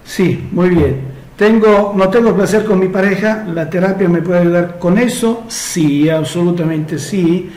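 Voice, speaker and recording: A man who is not a native Spanish speaker, talking slowly and hesitantly as a therapist answering follower questions, with frequent fillers. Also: high-pitched, darkish tone, reverberant, rough recording. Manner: slow and measured, many hesitations and fillers. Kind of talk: casual solo Q&A monologue.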